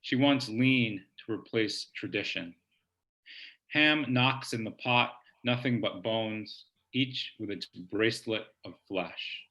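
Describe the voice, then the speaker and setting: A man hosting a virtual poetry reading from home, talking in a relaxed, halting way with many ums, and reading a poem aloud. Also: lowish voice, dull audio. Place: home, video call. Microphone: laptop mic on video call.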